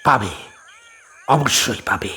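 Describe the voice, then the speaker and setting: A man's voice: medium pitch, lively intonation, slow pace, pushed and bright, a little hiss or hum. A man playing a stern, vengeful spirit of a holy man in a horror audio drama, speaking with solemn, commanding emphasis. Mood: grim, resentful.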